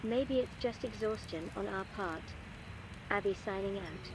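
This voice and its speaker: Game role: earnest female expedition leader played by a woman, composed archaeologist dictating logs, medium pitch, clearly noisy ambient backdrop. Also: measured pace, lightly acted. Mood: curious, troubled. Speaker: game character recording audio logs. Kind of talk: scripted audio-log narration, game voice acting.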